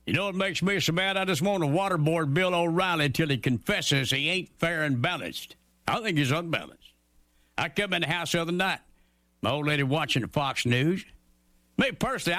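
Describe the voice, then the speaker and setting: A folksy grumbling everyman, ranting, sharp tone, dead-dry studio. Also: a little high, lively intonation, a little fast, no room sound. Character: grumbling down-home everyman man. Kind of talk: radio comedy monologue.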